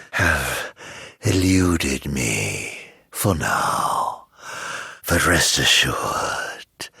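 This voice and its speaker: Theatrical video game villain, immortal and vengeful, gravelly husky voice, hugely swooping intonation, unhurried drawl, silky mock-polite taunting. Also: male voice, extremely low pitch, sharp tone, no echo, clean studio sound, heavily acted. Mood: brooding, vengeful. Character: theatrical, mocking immortal male villain bent on revenge.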